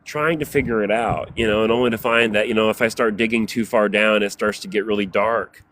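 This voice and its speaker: A man speaking as a guest on a livestream, thoughtful and halting, stopping often to rephrase. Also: lowish voice, steady tone, a bit bright, a little hiss or hum. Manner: thoughtful, halting, many "you know" fillers. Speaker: guest panelist. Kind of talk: reflective casual talk.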